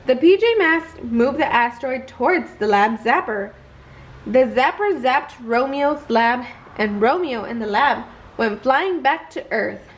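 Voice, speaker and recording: High-pitched, slow-paced woman reading a storybook. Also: lively intonation, poor audio. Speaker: storybook reader. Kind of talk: children's bedtime read-aloud narration.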